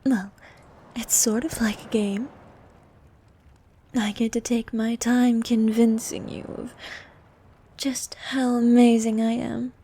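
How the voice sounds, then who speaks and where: A woman voicing a vain, flirtatious sea queen monster in an ASMR roleplay, soft and half-whispered, with a regal, teasing manner. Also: a little high, flat delivery, slight background noise. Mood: teasing, haughty. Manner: regal, teasing, slow and coaxing.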